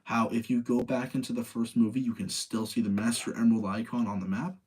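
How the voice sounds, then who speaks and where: A man's voice: mid-range voice, flat delivery, brisk, darkish tone, husky. A man hosting in a casual, informal chat style, freely giving his own opinions as he talks to listeners. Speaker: host of a live ranking show about video game movies.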